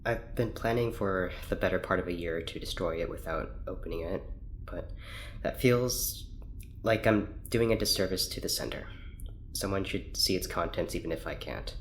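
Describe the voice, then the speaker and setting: A man playing a guarded, formal scientist in an audio drama, speaking in a measured, secretive way. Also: sits low, a little hiss or hum. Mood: guarded, reluctant.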